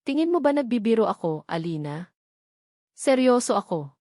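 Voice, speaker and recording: A cold-hearted young man from a romance drama story , as a woman narrator acts him out. He speaks with cruel, dismissive emphasis and quiet menace, in a very dry recording. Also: on the high side, big pitch swings, moderate pace, dry recording. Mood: cold, mocking. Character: cold, cruel young man rejecting his pregnant lover.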